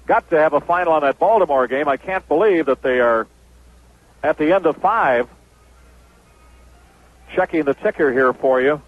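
A man with a high-pitched voice delivers a baseball radio wrap-up in the manner of a 1970s announcer. The sound is dull and lo-fi, like an old broadcast. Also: normal speed, pauses often. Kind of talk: 1970s radio sports wrap-up, reading scores and sponsors.

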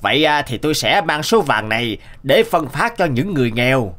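A man voicing a self-assured male sorcerer who speaks slowly and confidently in a cartoon. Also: medium pitch, very animated, fairly bright tone, soft background music. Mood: reassuring. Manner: slow, confident, incanting.

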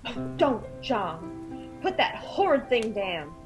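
Woman playing a fussing, animated mother. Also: on the high side, slow, music in the background. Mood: scolding, alarmed. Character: fussing, practical mother of a grown son. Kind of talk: radio drama acting. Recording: faint background noise and ambience.

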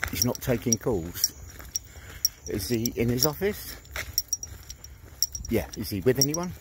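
A man's voice: mid-range voice, very animated, slow pace. A man, doing a brash, sweary, mocking one-sided prank phone call outdoors, filmed on a phone held at arm's length. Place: outdoors among trees. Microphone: phone held at arm's length, headphones on. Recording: noisy outdoor phone recording.

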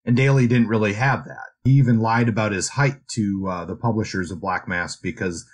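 A man co-hosting a podcast, discussing books in a casual, measured and slightly hedging way. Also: fairly low pitch. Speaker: podcast host.

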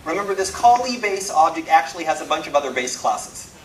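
A man giving an informal technical talk, emphatic and wryly self-deprecating, with dramatic pauses for effect. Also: fairly high pitch. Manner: animated, self-deprecating, wry humour. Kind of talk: informal live lecture to a programmer audience. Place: indoor talk room. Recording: roomy, distant mic, some background noise.

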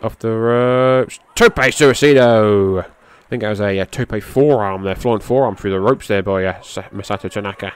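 A man's voice: normal speed, few pauses, clear, resonant tone. A middle-aged man, a podcast host giving loose, rambling play-by-play of a match, who swears casually and lifts his voice at the big moves. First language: English. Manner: loose, rambling, swears casually, gets excited at high spots.

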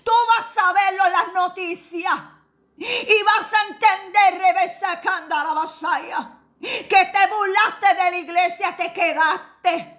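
A woman preacher with a high, bright voice delivers a fervent Pentecostal prophecy. She speaks slowly and emphatically, repeating her exhortations and breaking into tongues. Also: fairly even intonation.

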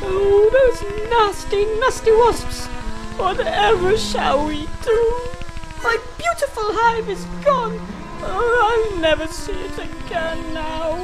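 A woman's voice: extremely high pitch, expressive, slow pace, over loud music, full character voice, low-quality recording. A woman playing a fretful, plaintive female bee in a cartoon video game, melodramatic and gossipy. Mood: distraught, pleading. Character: fretful, plaintive female bee mourning her stolen hive.

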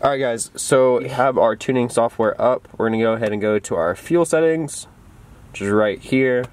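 A man's voice: medium pitch, moderate pace. A young man, hosting a casual car vlog, walking viewers through each step from inside a small car. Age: young adult. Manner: explains steps to viewers, talks to camera. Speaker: car channel host.

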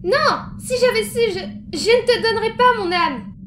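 A woman's voice: very high voice, lively intonation, unhurried, noisy background. A woman playing a timid, frightened young boy in an amateur comic dub, stammering and pleading yet stubborn. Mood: panicked pleading. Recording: music behind.

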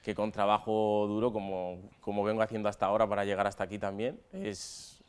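A man's voice: lowish voice, steady tone, a little fast, smooth. A man of twenty with a Madrid Spanish accent, answering casually in an interview as a footballer. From Spain, Madrid area. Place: stadium dugout with plastic seats.

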